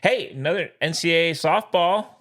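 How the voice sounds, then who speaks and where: A man co-hosting a podcast, chatting excitedly about sports and swearing freely. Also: moderate pace. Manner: excitable, swears, lively swings in pitch. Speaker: podcast co-host. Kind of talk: casual sports chat.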